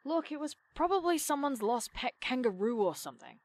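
A high, very animated young woman in an audio drama. She is the reluctant sceptic, grumbling and teasing with dry sarcasm in natural, friendly banter. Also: a little fast, no echo. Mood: amused, reluctant.

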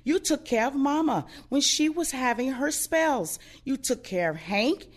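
A woman playing a blunt, sardonic, protective older woman in an audio play, outspoken and expressive. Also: high-pitched, a little slow. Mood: insistent, supportive.

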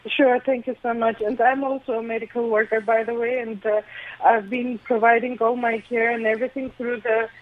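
Woman, Armenian accent, native Armenian speaker, radio caller, soft-spoken, muffled phone-in sound. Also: on the high side, flat delivery, normal speed. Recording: dull phone-in line.

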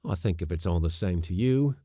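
A man acts out a glum schooner's mate from a sea story. He is prim and heavily sarcastic, his pitch leaping about in a dry, close recording. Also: huge pitch swings, moderate pace, darkish tone, dry recording. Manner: gloomy, laboured sarcasm. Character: gloomy, sarcastic, prim male ship's mate.

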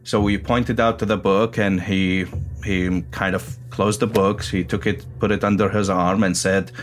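A man, an Arabic speaker, telling his story as an interviewee with many hesitant pauses. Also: deep voice, flat delivery. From Iraq. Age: 30s. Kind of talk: personal storytelling.

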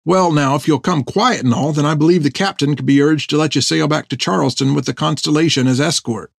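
A man voicing a gruff, earnest sailor sergeant in an audiobook, talking in folksy seafaring dialect to old mates. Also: fairly high pitch, brisk, no echo, studio quality, pitched above the performer's own voice. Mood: blustering, coaxing. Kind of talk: audiobook character dialogue.

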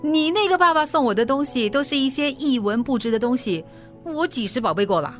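A woman playing a feisty, stubborn, middle-aged mother in a radio drama, with a Singaporean accent and a brisk, defensive, colloquial way of talking. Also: high-pitched, expressive, faint music behind. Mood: teasing, dismissive. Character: feisty forgetful mother, stubborn, brushing off worry.

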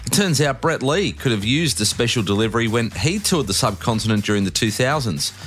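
A man's voice: mid-range voice, animated, a little fast, a bit bright. Sports news host, male, Australian, scripted narration, light music bed underneath.

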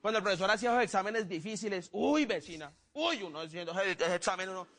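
High-voiced Colombian man, playful comic storyteller. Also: fast talker. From Neiva, Colombia. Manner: playful, animated, acts out dialogue. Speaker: comedian and guest. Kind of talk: comic storytelling, stand-up and banter.

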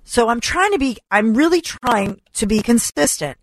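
A talk radio host, a woman, delivering an opinionated rant full of rhetorical questions and repetition, her voice going hoarse. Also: a little high, expressive, normal speed, dry recording. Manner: emphatic, rhetorical questions, repeats for stress. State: losing her voice. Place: radio studio. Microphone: studio microphone.

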